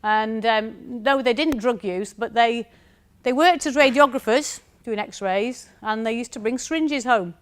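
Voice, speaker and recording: A woman's voice: fairly high pitch, measured pace, smooth. Chatty northern English woman presenter. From north of England. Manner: chatty, anecdotal, often checks in with "okay?". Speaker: university researcher giving a conference talk. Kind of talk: conference presentation.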